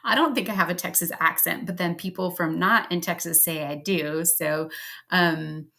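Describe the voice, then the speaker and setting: Woman explainer, lowish pitch, bright tone, chatty lecture style with fillers, recorded at home. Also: normal speed, creaky. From Pennsylvania, US. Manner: casual and conversational, with frequent ums and likes. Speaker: health educator. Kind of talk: explanatory health lecture over slides.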